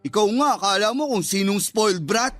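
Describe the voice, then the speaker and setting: Casting: cheeky young kid brother, light high male voice, taunting, faint music behind. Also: animated, brisk, fairly bright tone. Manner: taunting, questioning. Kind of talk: radio drama acting.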